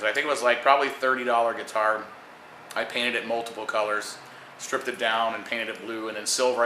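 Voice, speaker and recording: A man's voice: medium pitch, bright, forceful tone. An American man from Phoenix, a rock musician talking in a casual band interview, joking and swearing freely. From Phoenix, Arizona, USA. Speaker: band musician, guitarist and singer. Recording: roomy echo, faint noise.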